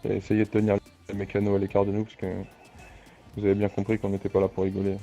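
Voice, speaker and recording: A composed crime boss in an online roleplay game , played by a man, speaks with formal authority. The voice is low, very dark and even, with measured pauses. It comes through dull game voice chat with music behind it. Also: lightly acted. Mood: firm, polite. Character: composed, authoritative male crime family boss negotiating terms.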